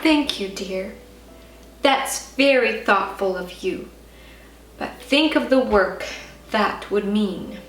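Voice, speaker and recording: A woman's voice: mid-range voice, expressive, slow pace, long pauses, a bit bright, pitched below the performer's own voice. A woman voicing a weary, doubting mother who frets and cautions, in a children's storybook reading. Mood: gentle doubt. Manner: fretful, cautioning. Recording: roomy, distant mic, faint music.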